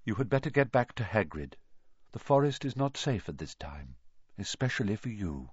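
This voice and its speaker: A man reading aloud and voicing a noble young male centaur in a soft, low, solemn tone. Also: sing-song, moderate pace, slightly rough, no room sound. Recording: dull, muffled recording.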